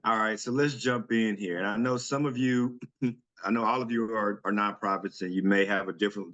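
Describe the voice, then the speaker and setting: A man with a very low voice presents a webinar over a video call. He talks in a relaxed, chatty way, with plenty of ums and small restarts as he goes. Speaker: senior director presenting a webinar. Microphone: computer mic on a video call.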